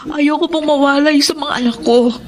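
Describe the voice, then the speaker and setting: A woman's voice: high voice, fairly even intonation, natural voice. An actress playing a poor, protective widowed mother in a Filipino melodrama, deferential and earnest, with a Filipino accent. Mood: pleading. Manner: deferential, halting, earnest pleading. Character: poor scarred widowed mother, humble, pleading, protective of children. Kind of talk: Filipino radio-style melodrama acting.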